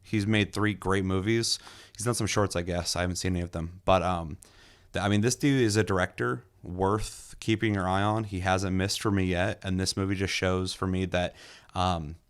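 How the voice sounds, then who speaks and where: Low-voiced man chatting about a film. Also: steady tone, moderate pace, clean tone. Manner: loose and enthusiastic, many fillers, occasional swearing. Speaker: movie reviewer, podcast host. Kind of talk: casual film review chat.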